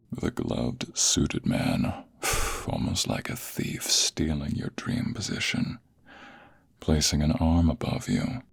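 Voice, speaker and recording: A man playing a smug, flirtatious office rival in an intimate ASMR roleplay, taunting in a deep, breathy, gravelly near-whisper. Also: full character voice. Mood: teasing, seductive. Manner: slow, deliberate, taunting, drawn-out phrases. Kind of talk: ASMR romantic roleplay, intimate second-person acting.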